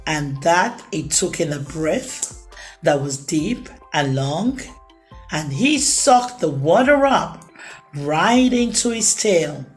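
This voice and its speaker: Slow, animated woman storyteller. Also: sits low, soft background music. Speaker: children's storytime reader and host. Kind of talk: expressive children's read-aloud narration.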